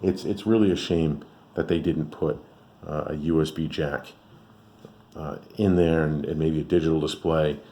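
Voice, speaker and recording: A man's voice: very deep voice, fairly even intonation, normal speed, mellow. A man giving a casual, unscripted product review as a host, rambling conversationally and thinking aloud. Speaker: radio reviewer and channel host.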